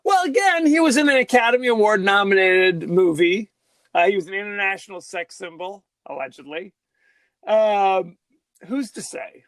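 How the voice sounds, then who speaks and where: High-voiced joking male podcast host. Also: animated, moderate pace, no room sound. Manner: jokey, laughs often, trails off mid-sentence. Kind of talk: casual chat.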